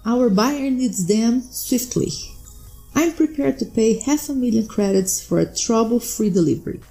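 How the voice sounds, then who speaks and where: A man's voice: very high-pitched, lively intonation, unhurried, gravelly, mellow, faint music behind, voice pushed up, full character voice, poor audio. A man voicing a sly, businesslike smuggler woman in a sci-fi story reading, breezy and knowing. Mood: matter-of-fact.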